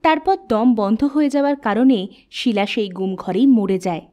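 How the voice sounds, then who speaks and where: High-voiced woman narrating a folk tale. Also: moderate pace, dull audio. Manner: steady, plain storytelling. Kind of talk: scripted storybook narration.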